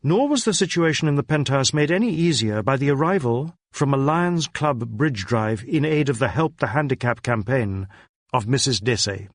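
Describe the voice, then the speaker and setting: Male narrator, medium pitch, scripted detective fiction read, very dry studio-style sound. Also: expressive, normal speed, no echo. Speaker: audiobook narrator. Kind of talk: scripted narration of a crime novel.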